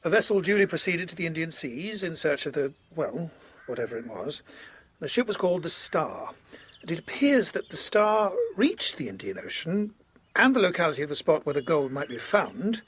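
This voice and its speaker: A grave, courteous Georgian colonel played by a man in a period audio drama. His voice is husky and a little high, telling his tale formally, with measured hesitation. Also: big pitch swings, fairly bright tone, heavily acted. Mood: earnest recounting.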